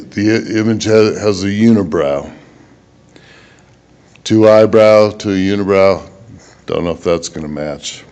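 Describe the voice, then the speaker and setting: A man presenting research at a conference in a halting, plain-spoken way. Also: fairly low pitch, a little mellow. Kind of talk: conference presentation, then answering questions.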